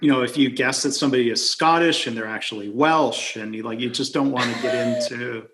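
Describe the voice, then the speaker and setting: A man's voice: medium pitch, fairly quick, a bit bright, a little hiss or hum. A man from near Detroit hosting a podcast, asking friendly, curious questions with frequent fillers. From near Detroit, USA. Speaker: podcast host. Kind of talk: casual conversation.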